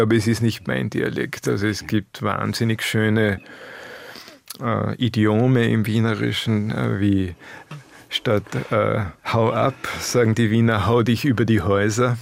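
A man's voice: fairly low pitch, steady tone, unhurried, gravelly. A middle-aged Austrian man from the Alps, an author being interviewed, talking in a dry, laconic way and chuckling often. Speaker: author guest. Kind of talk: relaxed interview talk.

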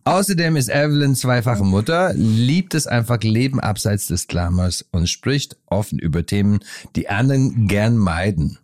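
A man's voice: fairly low pitch, lively intonation, moderate pace, darkish tone, no room sound, clean studio sound. A man hosting a podcast, chatty and mixing English phrases into German he learned later in life. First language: not German. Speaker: podcast host. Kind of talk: lively podcast chat and scripted intro.

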